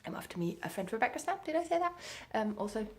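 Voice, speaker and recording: A woman's voice: fairly high pitch, sing-song, quick pace, darkish tone. A woman chatting casually as a book vlogger, in an informal, self-deprecating way. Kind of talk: casual chatty reading vlog.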